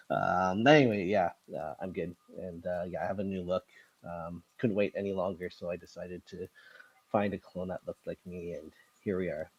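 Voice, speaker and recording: Raspy, very deep-voiced man. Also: no room sound, dull audio. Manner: relaxed, rambling, with frequent fillers. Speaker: guest speaker. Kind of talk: casual live audio chat.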